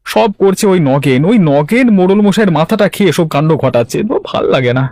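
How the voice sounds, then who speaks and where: A man's voice: high-pitched, animated, a little fast, darkish tone, dry recording. Poor cartoon farmer, played by a man, anxious, plaintive, exclamatory, rural drama, close dry recording. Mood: plaintive, indignant. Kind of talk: Bengali cartoon voice acting.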